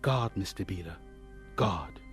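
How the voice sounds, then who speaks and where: An actor playing an earnest, dreamy young homesteader in a radio drama, with a wistful, folksy, heartfelt way of talking. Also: male voice, low-pitched, expressive, a little slow, over loud music. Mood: resigned, reflective. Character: earnest, dreamy young homesteader, later recalling his life.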